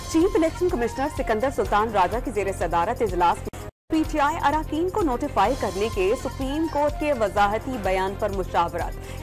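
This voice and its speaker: A woman with a Pakistani Urdu accent reading scripted TV news headlines in a crisp, formal newsreader manner. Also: a little high. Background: news music bed.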